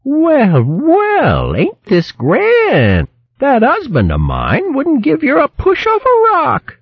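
Merklewort, a man playing a shrill scolding hedgehog wife. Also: huge pitch swings, takes it slowly, no echo, dull audio, exaggerated voice. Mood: bossy. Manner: scolding, rustic country speech. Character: fierce bossy female hedgehog wife, sharp-tongued.

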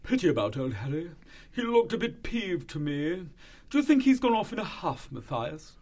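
A man's voice: fairly high pitch, big pitch swings, normal speed, a little mellow, pitched above the performer's own voice. A man voicing a jaunty, bossy military animal in a storybook, blustering with old-fashioned officer banter. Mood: chatty concern.

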